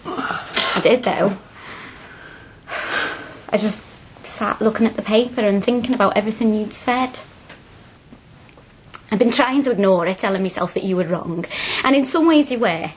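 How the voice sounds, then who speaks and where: A woman's voice: medium pitch, moderate pace, pauses often, slight background noise, natural voice, rough recording. A woman playing a frank, earnest young working-class student in a radio drama, plain-spoken and colloquial. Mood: earnest, reflective.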